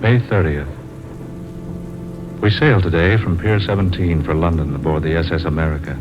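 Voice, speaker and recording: A reflective, fond husband and writer in an old-time radio drama. His voice sits low, and the recording is narrow and muffled, with rain and thunder noise behind it. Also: steady tone, normal speed. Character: male writer husband, bemused, fond, reflective narrator of travels.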